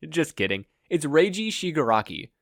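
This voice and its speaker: Reader acting male spirit, teasing, dramatic pauses, medium pitch, dry recording. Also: big pitch swings, no room sound. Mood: teasing, mysterious.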